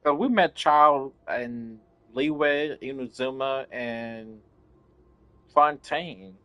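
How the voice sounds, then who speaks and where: A man's voice: mid-range voice, drawn-out words, dry recording, lo-fi sound. A man giving casual, profane, slangy commentary as he plays a video game, bluntly reacting to the story. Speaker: gamer reacting while playing. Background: game music.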